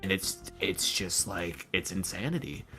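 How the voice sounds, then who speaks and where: A man who makes roleplay videos, talking casually as a podcast guest and acting out quotes as he tells stories in an animated way. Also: deep voice, measured pace, soft background music, low-quality recording. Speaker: interview guest, ASMR roleplay video creator.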